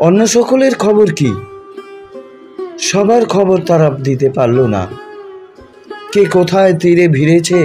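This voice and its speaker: A man reads a literary story in a measured, expressive, dramatic narration, drawing out his phrases. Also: high-pitched. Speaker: audiobook narrator. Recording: music behind the voice.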